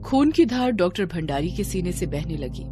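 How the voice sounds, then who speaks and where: Woman storyteller over clear music. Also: on the low side, very animated, normal speed, dark tone. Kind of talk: scripted horror story narration.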